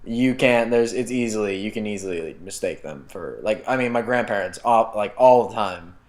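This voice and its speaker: A man's voice: lowish voice, moderate pace, darkish tone. Rambling young man in a casual chat. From South Africa. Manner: rambling, full of fillers and restarts, casual swearing. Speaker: podcast co-host.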